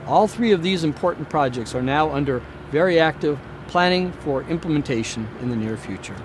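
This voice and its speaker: A man giving a prepared hospital newsreel update in a formal, measured, deliberate way, like an executive addressing the community. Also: on the high side, expressive. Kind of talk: prepared newsreel presentation to camera. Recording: clear outdoor traffic and ambient noise.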